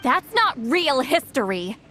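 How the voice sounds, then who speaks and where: A woman's voice: sits high, sing-song, slow pace, pushed and bright, faint music behind, exaggerated voice. An actress voicing a proud, prickly female shaman in a video game, with a cutting, defiant manner. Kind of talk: scripted video game voice acting.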